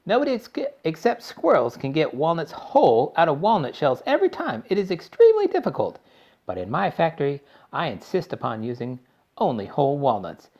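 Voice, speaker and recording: A man voicing an eccentric male candy-factory owner, brisk, bossy and theatrical. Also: fairly high pitch, huge pitch swings, darkish tone, voice pushed up, heavily acted. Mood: explaining. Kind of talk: audiobook character voice.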